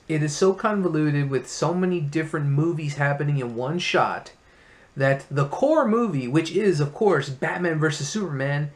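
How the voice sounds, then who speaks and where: A man hosting a casual movie review, talking as an enthusiastic fan in a room full of memorabilia. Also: fairly high pitch.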